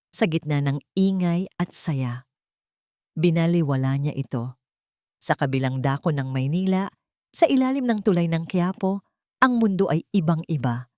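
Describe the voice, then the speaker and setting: A Filipino woman storyteller with a fairly low voice. She delivers scripted, dramatic narration with a Filipino accent. The sound is dry, with no room around it. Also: mellow, no room sound.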